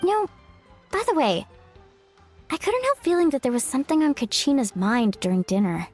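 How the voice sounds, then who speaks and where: A woman voicing a cheerful young woman who hosts a party in a video game, breezy and lively. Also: sits high, moderate pace, soft background music. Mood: concerned. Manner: breezy, lively, warm toward friends.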